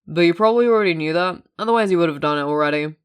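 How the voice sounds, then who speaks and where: A woman voicing a calm, stubborn man who talks in a dry, matter-of-fact way in an audio story. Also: lowish voice, rapid-fire, clean tone, lightly acted.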